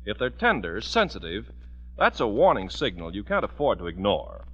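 A man's voice: on the low side, huge pitch swings, moderate pace, fairly bright tone. A man working as a radio announcer, delivering scripted commercials and narration in an emphatic, polished 1940s sales style. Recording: dull vintage radio broadcast recording.

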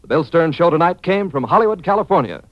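A man's voice: on the high side, lively intonation, quick pace, no echo. A man with an American accent announcing in polished, punchy 1940s radio advertising style. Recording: dull dry vintage radio.

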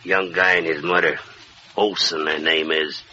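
Sharp-edged, hesitant gangster henchman. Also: a bass voice, little pitch movement, a little slow, exaggerated voice. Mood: eager. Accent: American. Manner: slangy, questioning underling talk. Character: hesitant, dim, deferential American gangster henchman. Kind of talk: 1940s radio crime acting. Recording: dull, faintly noisy old radio recording.

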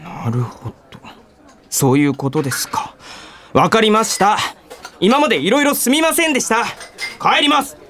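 An actor playing an earnest, polite young office junior in a Japanese radio drama, easily exasperated. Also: male voice, mid-range voice, fairly even intonation, normal speed, a little hiss or hum, lightly acted. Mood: frustrated, hurt. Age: young adult.

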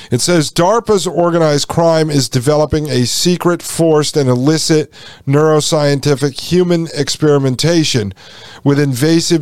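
A man's voice: a little high, a little mellow, clean tone, no room sound, studio quality. A man hosting a solo podcast, chatty and addressing listeners as folks, while losing his voice. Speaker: podcast host.

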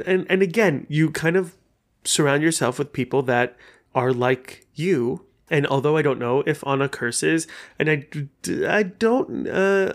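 A man hosting a podcast on his own, talking casually in a rambling, enthusiastic way and cursing freely. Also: mid-range voice. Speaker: podcast host. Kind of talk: casual solo podcast chat.

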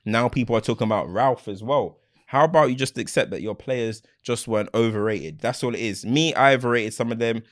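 Blunt, rapid man. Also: mid-range voice. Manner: blunt, opinionated, slangy. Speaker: podcast co-host. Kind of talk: casual football banter.

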